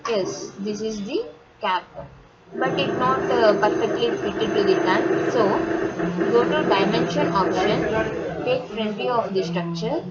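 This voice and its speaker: A woman with an Indian accent narrating a step-by-step software tutorial in a halting, instructional manner. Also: mid-range voice, a loud crowd. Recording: muffled, very noisy, vehicle sounds behind.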